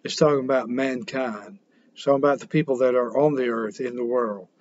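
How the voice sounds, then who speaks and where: Older preacher on a webcam. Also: male voice, mid-range voice, fairly quick, darkish tone, muffled recording, a little hiss or hum. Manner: earnest preaching with fillers. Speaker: pastor. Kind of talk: sermon. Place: small home room indoors.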